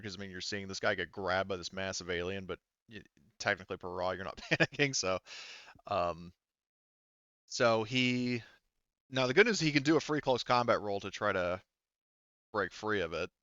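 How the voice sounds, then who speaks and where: Low, smooth-voiced man, halting game master. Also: fairly bright tone, no echo, lo-fi sound. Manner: hesitant, halting, thinking aloud. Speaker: game master of a tabletop roleplaying game. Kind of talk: casual unscripted game narration and rules talk.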